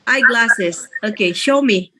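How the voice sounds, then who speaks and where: A woman's voice: a little high, takes it slowly, pushed and bright. A woman in her sixties hosting an online group session, chatty and rambling, laughing often. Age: 60s. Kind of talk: casual chat.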